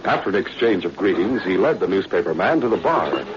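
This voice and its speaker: A man's voice: low voice, sing-song, fairly quick. A man narrating a spy adventure in scripted, solemn 1940s radio style. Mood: dramatic, measured. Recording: dull, faintly noisy vintage radio recording.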